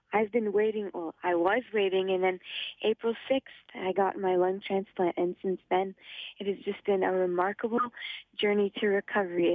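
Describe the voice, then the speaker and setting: A young woman from Ottawa with a slightly raspy voice, an upbeat guest calling in to a radio show and laughing often. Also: medium pitch, no echo. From Ottawa, Canada. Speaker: phone-in guest. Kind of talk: casual radio interview. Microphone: phone line. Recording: tinny dry phone line.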